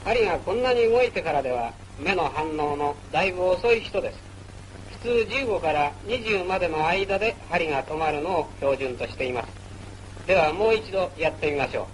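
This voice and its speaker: A man's voice: fairly high pitch, animated, a little fast, a little hiss or hum, rough recording. A man narrating a wartime military training film in a formal, instructional manner, on an old film soundtrack. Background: music.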